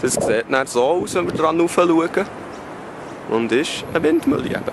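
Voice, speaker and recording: A man's voice: on the high side, lively intonation, moderate pace. A man giving casual, wry travel vlog commentary while out and about in a city. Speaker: travel vlogger. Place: outdoors in a city park and streets. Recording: very noisy outdoor street and park audio.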